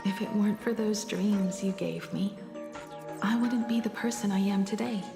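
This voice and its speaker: An actress voices a grown daughter in a video game, composed and tender. She speaks in a medium-pitched, reflective way, echoing slightly over music. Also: fairly even intonation, moderate pace, gravelly, close to an everyday voice. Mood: warm, reflective. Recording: roomy echo, music behind.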